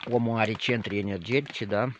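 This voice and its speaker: A man's voice: lowish voice, steady tone, moderate pace. A man from northern Moldova, speaking as a spiritual leader in a rambling monologue full of fillers, addressing listeners directly. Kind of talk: spoken monologue to viewers. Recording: slightly dull lo-fi audio with faint noise.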